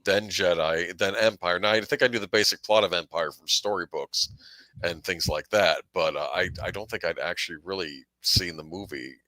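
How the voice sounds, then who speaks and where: A man's voice: low-pitched, moderate pace, pushed and bright, dry recording, rough recording. A middle-aged man reminiscing casually as a panel guest.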